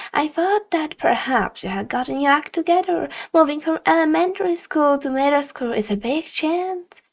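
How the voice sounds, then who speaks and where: A woman voicing a stern, condescending female middle school teacher in audiobook dialogue, speaking in a deliberate, emphatic, lecturing way. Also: sits high, voice pushed up. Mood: disappointed, condescending.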